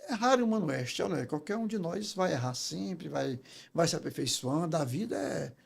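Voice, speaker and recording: A man's voice: fairly high pitch, animated, moderate pace, vocal fry, slightly rough. A Brazilian man speaking as an interview guest and public speaking teacher, telling stories in an emphatic, didactic way and often checking for agreement. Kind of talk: conversational storytelling in an interview.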